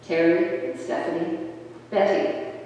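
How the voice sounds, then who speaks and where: Slow, halting prayer reader in an echoey church. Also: mid-range voice, level tone, darkish tone, distant mic. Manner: halting, with slips and self-corrections. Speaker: lay reader leading intercessions. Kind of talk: reading prayers aloud in a church service. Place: large church with stone walls. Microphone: distant room mic.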